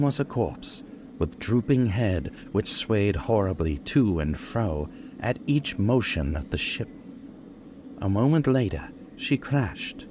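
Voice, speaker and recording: An actor playing a solemn doctor, narrating formally and ominously in old-time radio style. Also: male voice, lowish voice, a little mellow, a little hiss or hum. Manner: formal, dramatic.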